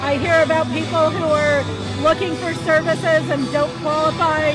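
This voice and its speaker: A woman crisis call center worker giving earnest, steady personal testimony. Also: high-pitched, normal speed, poor audio. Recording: loud music underneath.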